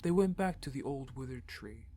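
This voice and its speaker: A man voicing an earnest young rebel in an audio drama, who speaks softly when telling a story and gets urgent when worried. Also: mid-range voice, lively intonation, moderate pace, a little hiss or hum, natural voice. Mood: reassuring. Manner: soft storytelling, turns urgent and upset.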